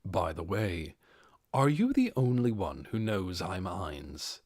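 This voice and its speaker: A man performs a commanding audiobook overlord with a deep, husky voice. He is composed and measured, speaking with authority, and his pitch moves expressively. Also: sing-song, darkish tone, dry recording, clean studio sound, exaggerated voice. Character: composed undead overlord posing as an adventurer, male, commanding.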